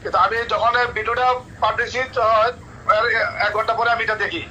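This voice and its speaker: A middle-aged man, recounting events in repetitive, halting phrases over a phone call. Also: high-pitched, fairly even intonation, sharp tone, a little hiss or hum. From Cumilla, Bangladesh. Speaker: remote interview guest. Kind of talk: unscripted recounting.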